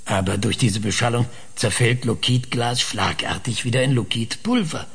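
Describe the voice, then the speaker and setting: A sardonic, embittered man of science from a radio play. His voice is very husky and gravelly, and he speaks haltingly, with hums and ers and big swings of pitch. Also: lively intonation, fairly bright tone, exaggerated voice. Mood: probing, excited. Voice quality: husky, raspy.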